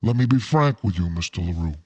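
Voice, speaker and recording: A man's voice: deep voice, animated, fast talker, darkish tone, slightly rough. A man playing a scheming crime boss in a noir radio play, formal and genteel with an edge of menace. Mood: smug, confiding. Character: suave scheming crime boss Fat Cat, noir villain.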